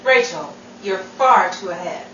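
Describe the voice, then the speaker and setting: A woman's voice: lowish voice, lively intonation, measured pace, fairly bright tone, recorded from a distance, dull audio. A woman playing an eager, theatrical aspiring actress in a 1940s-style radio comedy, recorded in a noisy, echoey room. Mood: eager, dreamy.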